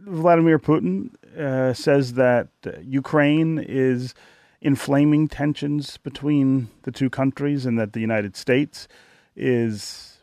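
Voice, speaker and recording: Slow, gravelly-voiced male interviewer. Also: medium pitch, darkish tone, dry recording, clean studio sound. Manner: deliberate, with hesitant fillers. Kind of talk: interview questions.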